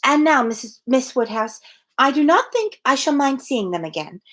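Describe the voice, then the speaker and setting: A woman's voice: sits high, expressive, normal speed, fairly bright tone, pitched above the performer's own voice. A woman voicing a wistful, earnest young woman from a novel, given to sighing. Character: wistful, sweet young woman sighing over lost love.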